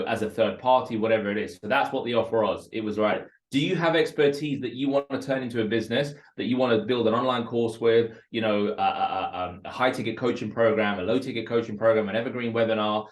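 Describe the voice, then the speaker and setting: A man, a Russian speaker, speaking as an entrepreneur guest in a casual interview. He talks with energy and often repeats words as he builds his points. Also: on the low side. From Russia, raised in West London. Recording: roomy echo.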